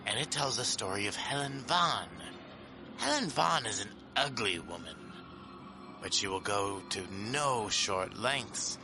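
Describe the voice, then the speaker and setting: A man playing a gleeful, creepy gravekeeper who hosts a horror show with theatrical, mock-friendly, drawn-out scripted introductions. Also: lowish voice, background noise. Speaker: host. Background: music.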